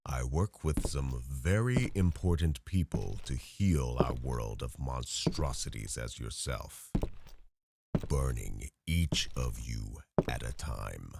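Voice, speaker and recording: Menacing vampire hunter, played by a man, extremely low and slow, formally courteous and mocking, ASMR roleplay. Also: animated, creaky, very noisy, exaggerated voice. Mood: taunting, righteous. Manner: formal, taunting, theatrical speech.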